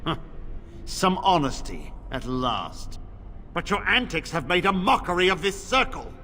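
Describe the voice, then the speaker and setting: An actor playing a stern, suspicious templar knight commander in a fantasy game, formal, commanding and accusatory. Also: male voice, high voice, big pitch swings, a little slow, pushed and bright, slight background noise, full character voice. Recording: reverberant game dialogue with music.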